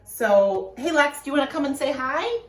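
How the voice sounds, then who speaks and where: Lively higher-voiced woman, teacher talking to kids. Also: normal speed. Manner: addresses children directly, asks them questions. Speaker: elementary school teacher. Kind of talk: informal video message and read-aloud for pupils. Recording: echoey room.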